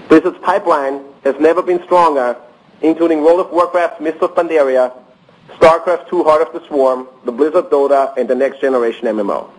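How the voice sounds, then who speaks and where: A man reads financial results in a measured, businesslike tone. His voice sits a little high for a man. The sound is conference call audio. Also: rough recording. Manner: measured, formal, businesslike delivery. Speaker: company finance executive. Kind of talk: reading prepared earnings remarks on a conference call.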